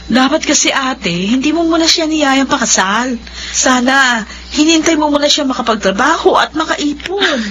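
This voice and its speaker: An actress playing a concerned, frank younger sister in a radio drama, chiding and earnest. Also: female voice, high voice, lively intonation, normal speed, a bit bright, a little hiss or hum. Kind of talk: Filipino radio drama acting.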